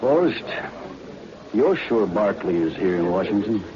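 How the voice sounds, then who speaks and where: A man playing a menacing, calculating party conspirator in 1940s radio style, deliberate and sinister, on a muffled old recording with clear hiss. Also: lowish voice, big pitch swings, a crowd in the background. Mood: suspicious, calculating.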